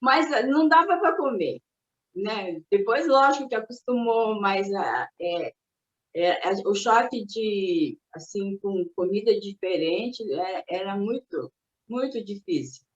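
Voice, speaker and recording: A woman's voice: medium pitch, lively intonation, measured pace. Reflective older Japanese-born woman, roomy sound. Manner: reflective, hesitant, with many restarts and fillers. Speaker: interviewee. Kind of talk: recalling her family's immigration. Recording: roomy, dull recording.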